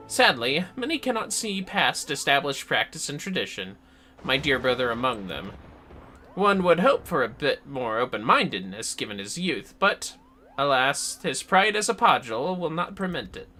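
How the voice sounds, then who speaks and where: A man's voice: medium pitch, sing-song, normal speed, bright, forceful tone. A man voicing a solemn, earnest forest mage from a fantasy game, reading dialogue aloud in a formal, archaic, theatrical manner. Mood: earnest, troubled. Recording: music behind the voice.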